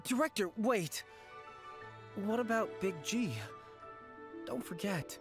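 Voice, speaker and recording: A woman's voice: medium pitch, slow pace, music in the background. A woman voicing a timid, nervous funeral parlor clerk in a video game, stammering and resigned. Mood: urgent.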